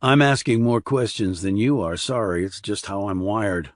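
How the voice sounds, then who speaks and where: A man's voice: on the low side, normal speed, dry recording, studio quality, close to an everyday voice. A man voicing a blunt, probing army investigator in audiobook dialogue, terse and questioning.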